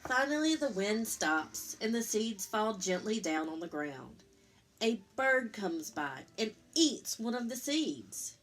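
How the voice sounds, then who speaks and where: Woman reading a children's story aloud. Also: medium pitch. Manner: expressive, pausing to explain and pose simple questions. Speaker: storytime reader, teacher. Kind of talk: read-aloud picture book storytelling for young children, with questions.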